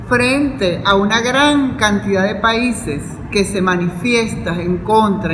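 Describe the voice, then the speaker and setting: Female Venezuelan academic, earnest formal panel delivery, mid pitch, reverberant hall, clearly noisy recording. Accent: Venezuelan Spanish. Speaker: university professor and academic vice-rector. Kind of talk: panel speech. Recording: echoey hall with loud background noise.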